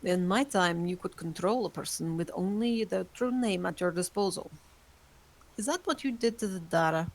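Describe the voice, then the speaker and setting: A woman voicing an ancient female vampire who speaks in a calm, formal way. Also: fairly low pitch, normal speed. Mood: composed. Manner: composed, formal. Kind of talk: amateur online table read.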